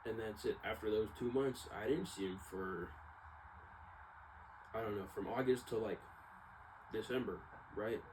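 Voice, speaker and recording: Low-voiced young guy, noisy background. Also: a little slow, long pauses. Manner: informal slangy talk, circling back and trailing off. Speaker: vlogger. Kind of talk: casual rambling personal monologue. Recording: clearly noisy background ambience.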